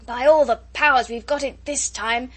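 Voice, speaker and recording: Woman acting out a shouting, agitated male ship's officer. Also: high-pitched, steady tone, normal speed, voice pushed up. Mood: strained shouting. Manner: clipped, shouted bursts over uproar.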